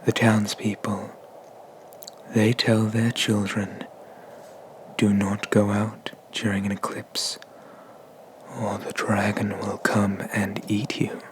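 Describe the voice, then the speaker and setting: A man voicing an ancient, haughty and menacing dragon god of the sea in a breathy, pompous whisper for an ASMR roleplay. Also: fairly low pitch, completely flat delivery, noisy background. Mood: mocking, menacing. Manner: slow, pompous, condescending, with drawn-out pauses.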